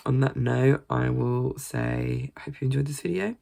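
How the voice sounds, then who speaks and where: A woman's voice: very deep voice, moderate pace, creaky, mellow. A British woman chatting casually in a vlog, rambling and swearing freely, sounding a bit congested. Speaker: gaming vlogger.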